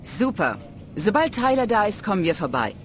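A woman's voice: mid-range voice, big pitch swings, moderate pace, a little hiss or hum, lightly acted. An actress playing a weary, no-nonsense female police lieutenant in an audio drama. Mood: brisk. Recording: echoey reverberant room.

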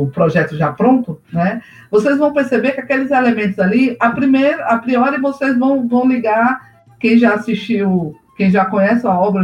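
A man's voice: high-pitched, distant mic, muffled recording. A man co-hosting a casual chat, talking hesitantly with many fillers, on echoey remote audio. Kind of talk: casual conversation on a live stream.

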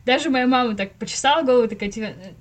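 A woman's voice: a little high, very fast, a crowd in the background. A woman around thirty chatting casually as a podcast guest, retelling stories in slangy speech and acting out people's reactions. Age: late 20s to early 30s. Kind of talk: casual chat, storytelling.

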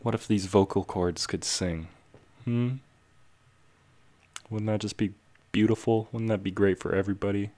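Deep-voiced, easygoing man hosting a music show. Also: normal speed, long pauses. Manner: relaxed, rambling, self-joking. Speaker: radio show host. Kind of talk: casual DJ talk between songs.

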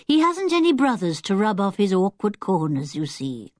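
A woman's voice: medium pitch, big pitch swings, normal speed, no echo, studio quality, voice pushed up, natural voice. A woman voicing a kindly, chatty, fretful older lady in a children's audiobook, genteel and fussing, with a British accent. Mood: anxious. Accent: British English. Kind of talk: children's audiobook character acting.

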